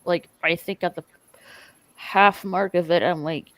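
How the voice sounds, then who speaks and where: A woman's voice: on the low side, steady tone, a little slow, a bit bright, dry recording, poor audio. A woman guest on a livestream, talking hesitantly with lots of fillers. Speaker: guest content creator. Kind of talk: casual livestream chat.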